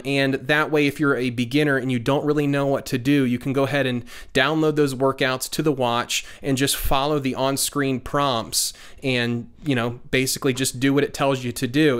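Man, 30s, tech reviewer, smooth clear voice, mid pitch, steady how-to voice-over with casual fillers, close mic. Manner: explanatory, steady, with frequent "uh" fillers.

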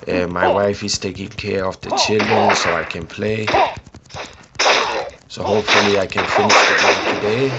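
A man from Sweden with a Swedish accent, casually commenting on a mobile game he is playing in halting, enthusiastic English full of fillers. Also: fairly low pitch, flat delivery, lo-fi sound. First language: Swedish or Hungarian. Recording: loud background noise.

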